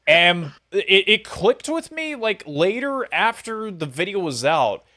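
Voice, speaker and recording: A man's voice: high voice, big pitch swings, slow pace, pushed and bright. A man chatting as a podcast interviewer in a casual, rambling way over an online voice call. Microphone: voice call on Discord.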